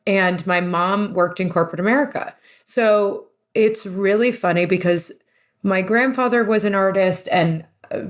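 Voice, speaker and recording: A woman from the East Coast of the United States talks as a guest in a casual interview. Her voice sits slightly low, and she is chatty and readily pokes fun at herself. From US East Coast, New Jersey and New York. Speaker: interview guest, renovation business partner.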